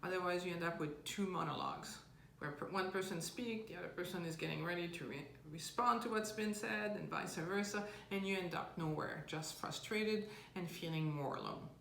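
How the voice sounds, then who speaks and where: A woman's voice: fairly low pitch, normal speed, creaky, hardly any pauses, a bit bright. Woman, relationship coach, earnest and plain-spoken, roomy echo with a faint hiss underneath. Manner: earnest, explains with homely analogies. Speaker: relationship coach answering viewer questions. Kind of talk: casual advice talk. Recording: roomy with faint background noise.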